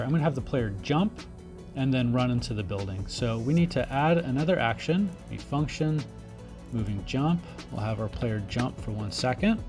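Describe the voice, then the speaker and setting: A man presents a software tutorial in an informal way, explaining each step while he works through it. His voice sits at a slightly high pitch for a man, and there is faint music behind him. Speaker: tutorial instructor.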